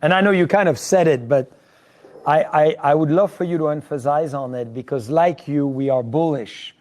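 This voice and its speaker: A man's voice: on the high side, normal speed, darkish tone. A man with a French accent hosting a live show in an effusive, emphatic conversational style. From France. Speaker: host.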